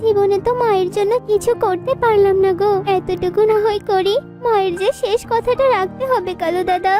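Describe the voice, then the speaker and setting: A woman's voice: very high voice, steady tone, fairly quick, music in the background. A woman voicing a devoted young daughter bird, plaintive and earnest, in a children's cartoon melodrama. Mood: earnest, resolved.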